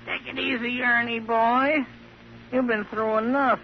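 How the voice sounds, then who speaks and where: A man's voice: very high voice, little pitch movement, unhurried. A man playing a sly, mocking ventriloquist's dummy with a gleeful, needling manner in an old radio drama. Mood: sly, accusing. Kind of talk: 1950s radio drama acting. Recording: muffled, noisy old radio recording.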